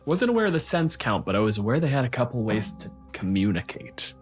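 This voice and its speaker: A man hosting a casual tabletop gaming discussion, riffing in an animated way and acting out imagined quotes. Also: medium pitch, fairly quick, soft background music.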